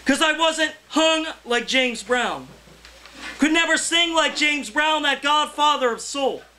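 A young man, a poet, reading his verse aloud live in a loud, theatrical, impassioned delivery. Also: extremely high pitch, lively intonation, slow pace, a little hiss or hum. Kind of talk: live poetry reading, declaimed. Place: indoor cafe reading before a small audience.

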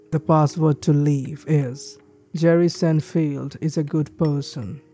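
A man reading a creepypasta list of ski resort rules in a steady, measured scripted narration. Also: fairly high pitch. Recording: faint background music.

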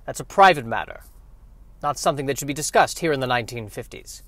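A man playing a bossy, proper gentleman scientist, emphatic and pompous, in a spoof fifties radio play. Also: mid-range voice, lively intonation, fast, dry recording. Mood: prim. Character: bossy, indignant 1950s male scientist hero, proper gentleman. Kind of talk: spoof 1950s radio acting.

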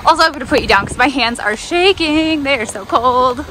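A woman's voice: sits high, fairly quick, over music. A young woman chatting casually for a travel vlog, upbeat and explaining things as she goes. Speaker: RV travel vlogger. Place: outdoors at an RV park, then inside a pickup truck.

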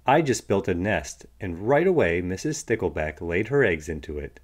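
A contented father fish from a picture book, played by a man. He is proud and chipper, stating his duties matter-of-factly in a low voice with lively intonation. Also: moderate pace.

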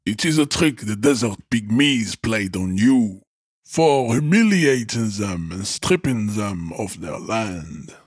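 Pompous, husky-voiced hulking strongman. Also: lowish voice, sing-song, dry recording, clean studio sound, exaggerated voice. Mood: haughty disdain. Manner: pompous, contemptuous, deliberate enunciation with grandiose vocabulary. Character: huge, arrogant, pseudo-scientific supremacist strongman guarding a bridge. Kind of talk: video game character voice acting.

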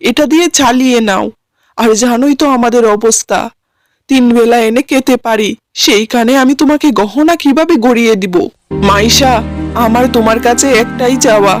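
Cartoon young working man, voiced by a woman: higher-pitched, earnest, pleading, gently reproachful. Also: close to an everyday voice. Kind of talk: cartoon drama acting.

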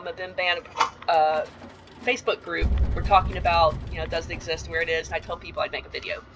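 Bright-voiced chatty woman touring a game house. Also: on the low side, a little hiss or hum, poor audio. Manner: chatty and rambling, with frequent ums and asides. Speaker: video game tour guide. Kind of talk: casual gameplay voice-over tour.

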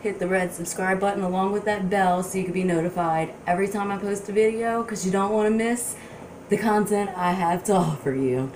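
A woman's voice: mid-range voice, brisk, darkish tone, slight background noise. A young woman, chatting casually as she walks through a cooking video in her home kitchen, recorded by a camera a few feet away. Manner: chatty, friendly, instructive with small restarts. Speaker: cooking vlogger. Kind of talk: casual cook-along talk to camera. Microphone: camera on counter a few feet away.

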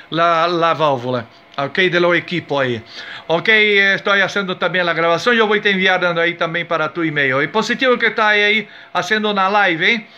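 A man's voice: high voice, sharp tone. A man from Brazil with a Brazilian Portuguese accent, making a friendly, casual ham radio contact and giving call signs. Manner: friendly, cordial. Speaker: amateur radio operator.

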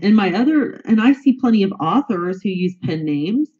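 Stuffy-voiced woman guest with a cold, aggrieved and repetitive, mid-pitched, lo-fi audio. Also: lively intonation, moderate pace, mellow. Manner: indignant, repeats herself. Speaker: guest, news reporter. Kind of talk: interview answers, aggrieved complaint.

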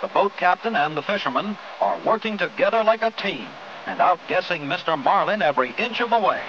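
A man's voice: sits high, animated, brisk, dull audio, background noise. An American man reading scripted 1950s newsreel-style narration in a dramatic, folksy showman's manner, on an old film soundtrack. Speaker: documentary narrator. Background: loud background music.

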